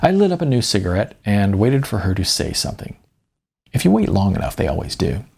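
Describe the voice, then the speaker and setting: Husky man narrating with lively, wry delivery. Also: on the low side, brisk. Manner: wry, conversational. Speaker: author reading own novel as audiobook. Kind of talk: first-person audiobook narration with acted dialogue.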